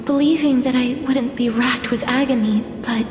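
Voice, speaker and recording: An actress playing a gentle, solemn young woman who personifies death, speaking formally and softly in a dramatic game scene. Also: high voice, steady tone, normal speed, noisy background, natural voice. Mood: solemn, troubled. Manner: soft, solemn, formal, breathy in places. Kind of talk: dramatic scripted game voice acting. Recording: echoey long reverb, music behind.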